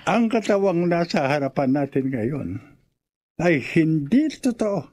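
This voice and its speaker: A man playing a grave old folk healer in a radio horror drama, solemn and deliberate. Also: on the high side, very animated, a little mellow, full character voice. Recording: noisy rustling, crickets behind.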